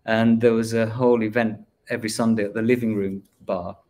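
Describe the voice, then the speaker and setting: Man, artist, low voice, flat delivery, hesitant, reflective. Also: brisk. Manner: reflective, hesitant, many fillers. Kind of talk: livestream discussion.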